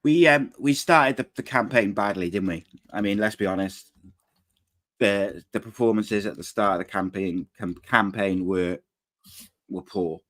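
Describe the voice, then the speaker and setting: A man hosting a casual football podcast, talking in a relaxed, hesitant way that often trails off quietly. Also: fairly low pitch, a little slow. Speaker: podcast host.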